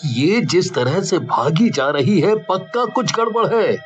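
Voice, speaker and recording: A man's voice: sits high, expressive, measured pace, muffled recording, faint music behind. A man playing a worried, determined young brother in a cartoon horror story, earnest and dramatic. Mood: suspicious.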